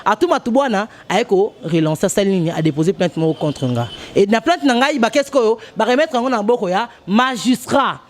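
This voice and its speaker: A woman journalist with a Congolese French accent, giving an emphatic, halting interview and switching between French and Lingala. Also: medium pitch, animated. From DR Congo, Kongo Central. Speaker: journalist guest.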